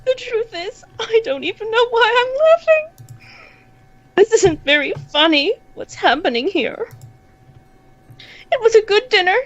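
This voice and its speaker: A woman's voice: very high voice, expressive, dry recording, highs cut off. A woman playing a haughty, sinister and lonely alien noblewoman in a narrated story, speaking in a formal, theatrical, self-pitying manner. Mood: melancholy self-pity. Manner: slow, theatrical, formal speech. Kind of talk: dramatic character acting in narrated story.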